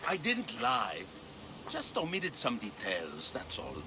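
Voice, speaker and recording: An actor playing a wily aging former mercenary, an old friend, in a video game. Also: male voice, mid-range voice, wildly swooping pitch, takes it slowly, very noisy. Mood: cold, vengeful.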